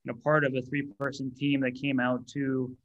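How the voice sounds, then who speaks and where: An American man in his thirties, a startup CEO answering interview questions in a relaxed way with many fillers. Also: mid-range voice, moderate pace, dull audio. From United States. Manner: relaxed, many ums and you-knows.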